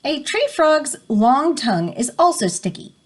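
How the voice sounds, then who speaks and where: A woman's voice: high-pitched, big pitch swings, measured pace. A woman reading an educational picture book aloud as a teacher, explaining and prompting listeners as she goes. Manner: explanatory, stops to define words and prompt listeners.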